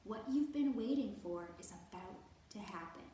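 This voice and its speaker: A woman hosts storytime for young children with slow, deliberate, expressive phrasing. Her medium-pitched voice sits in an echoey room with faint noise around it. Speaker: children's librarian hosting storytime. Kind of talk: picture book read-aloud for young children. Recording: roomy echo, faint background noise.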